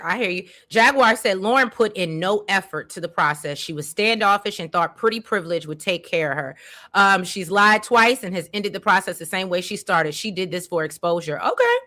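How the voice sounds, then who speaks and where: A woman's voice: medium pitch, animated, pushed and bright. A woman co-hosting a casual recap show, reading viewer comments aloud and chiming in agreeably. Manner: reads comments aloud, agreeable, quick affirmations.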